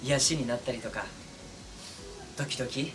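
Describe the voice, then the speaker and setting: A man speaking as a streamer and voice actor, chatting casually and earnestly to his fans in an intimate, pausing way. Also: mid-range voice. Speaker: voice actor and streamer addressing listeners. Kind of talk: casual, heartfelt chat to fans. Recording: distant mic, clearly noisy background.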